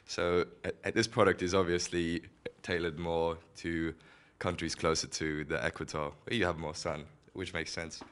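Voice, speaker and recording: A young man from Estonia in his twenties presenting a student design project to an audience. He has lost part of his voice and speaks haltingly with many fillers, into a handheld mic in a small gallery room. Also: low voice, little pitch movement, a bit bright, clear, resonant tone. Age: 20s. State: losing his voice. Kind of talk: conference presentation. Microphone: handheld microphone.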